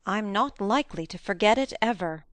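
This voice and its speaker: A woman's voice: expressive, moderate pace, dry recording, lightly acted. A woman voicing a stern older farm woman. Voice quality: low and quiet. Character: stern reserved elderly farm woman, gruff but caring. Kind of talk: audiobook character voice.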